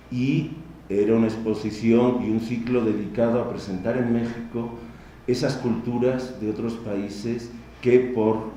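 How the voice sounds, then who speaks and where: A middle-aged man with a Spanish accent lectures in a measured, explanatory way, turning to his listeners now and then. His voice is low and mellow. It is picked up from a distance in a large hall with heavy reverb and a faint hiss underneath. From Spain. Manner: measured, explanatory, addresses the audience directly. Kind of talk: conference lecture. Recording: distant, echoey hall recording.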